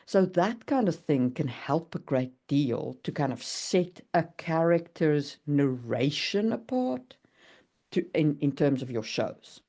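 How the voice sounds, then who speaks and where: A woman's voice: sits low, very animated, takes it slowly, mellow. A woman co-hosting a writing podcast, explaining in a conversational way and carefully qualifying her points. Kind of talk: casual explanatory discussion.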